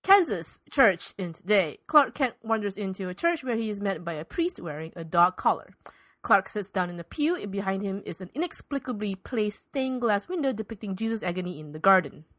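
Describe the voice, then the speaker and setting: Lively woman narrating a parody script. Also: mid-range voice, normal speed, no echo. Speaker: podcast host and narrator. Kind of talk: deadpan scripted narration of parody radio play.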